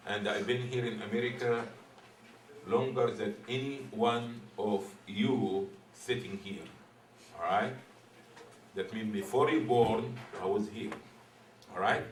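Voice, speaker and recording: A man's voice: on the low side, takes it slowly, pauses often, slight background noise. Man preaching in an echoey hall. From Egypt. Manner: playful, teasing, asks the audience riddles. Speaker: imam giving a talk. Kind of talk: formal sermon opening, then casual talk with the audience. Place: hall with a live audience. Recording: echoey room, voice from far off.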